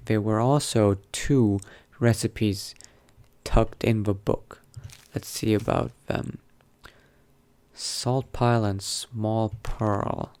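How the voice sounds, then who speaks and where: Male roleplay gamer, fairly low pitch, measured bookish read with muttered in-character asides. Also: darkish tone. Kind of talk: in-character game roleplay, reading aloud and musing.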